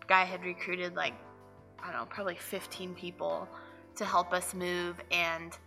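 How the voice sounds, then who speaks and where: A young woman giving a soft, reflective testimony with pauses and fillers. Her voice is low and very even, with a bright, crisp tone over faint background music. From Reno, Nevada. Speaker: church member giving testimony. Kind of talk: interview testimony for a church video.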